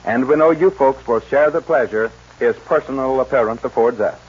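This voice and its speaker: A man's voice: medium pitch, a little fast, a little mellow, breathy edge. A man announcing and narrating a 1940s western radio show in formal, grandiose showman phrasing. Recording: dull 1940s radio recording.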